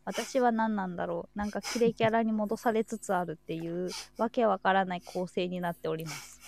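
Woman, livestream host, creaky voice, dry sound, teasing banter. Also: medium pitch, steady tone, a little fast, a little mellow, no echo. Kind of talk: casual chat on an audio livestream.

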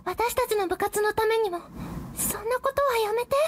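A woman playing an anxious, easily flustered high school girl who narrates her troubles in a radio drama, with a hesitant, pleading way of talking. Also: normal speed, noisy background. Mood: panicked, pleading. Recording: roomy echo, music and background chatter.

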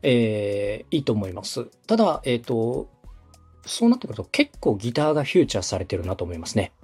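Husky, mellow man with lively intonation. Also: fairly low pitch, brisk, faint music behind. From Osaka, Japan. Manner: polite, methodical, summarizing point by point. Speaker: podcast host, radio director coaching a podcaster. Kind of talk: structured, explanatory coaching talk.

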